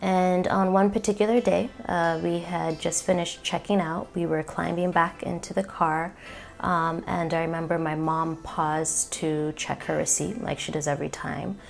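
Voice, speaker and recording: Earnest low woman with gravelly voice. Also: steady tone, moderate pace, faint music behind. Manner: reflective, earnest, with frequent ums. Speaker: community organizer. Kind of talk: personal storytelling talk.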